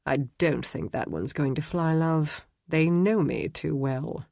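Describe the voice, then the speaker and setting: A woman voicing a dark, brooding man with a British accent who mutters sardonically. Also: deep voice, slow pace, gravelly, no echo, clean studio sound, heavily acted. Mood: dry, sardonic.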